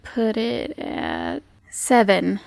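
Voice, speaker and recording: A woman's voice: medium pitch, huge pitch swings, drawn-out words, vocal fry, a little mellow, no room sound. A woman acting as game master, narrating an improvised tabletop game in a casual, conversational way.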